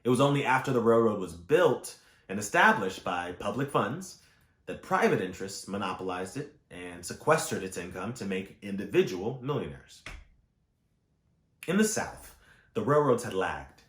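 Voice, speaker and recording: A man reading historical nonfiction aloud in a measured, formal way, phrasing each clause clearly. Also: fairly low pitch, big pitch swings, a bit bright. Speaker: audiobook reader. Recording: roomy, echoing voice recording.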